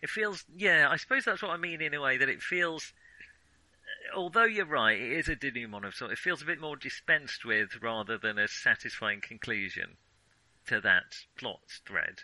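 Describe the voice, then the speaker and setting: A man with a strikingly bright, crisp-edged voice at an average pitch, recorded dry. He talks casually as a film podcast co-host, circling his points and thinking aloud with some hesitation. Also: lively intonation, moderate pace, no room sound, rough recording.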